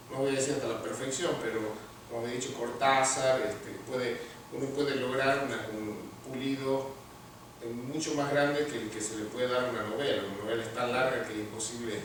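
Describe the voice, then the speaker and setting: An Argentine man talks over his writing in a measured, thoughtful way, pausing often. He sounds far from the microphone in a very echoey room, with steady noise behind him. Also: medium pitch. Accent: Argentine Spanish. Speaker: literature teacher and award-winning writer. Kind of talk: interview answers, then reading his story aloud. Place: large room at an award ceremony.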